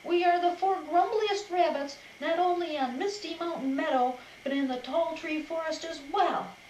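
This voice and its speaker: A woman voicing a cheerful female rabbit in a storybook, with a high, eager, squeaky way of talking. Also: big pitch swings, a little slow, reverberant, highs cut off, slight background noise. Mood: mock grumbly.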